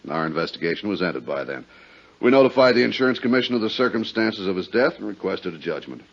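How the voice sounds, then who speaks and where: A hearty insurance adjuster in an old radio drama. His voice is deep and his talk plain and conversational. The vintage recording sounds muffled. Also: big pitch swings, quick pace, gravelly, husky, full character voice. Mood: matter-of-fact, insistent. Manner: matter-of-fact, conversational. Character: hearty, plain-spoken male insurance adjuster briefing a friend. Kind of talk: 1950s radio drama dialogue. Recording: dull 1950s radio recording.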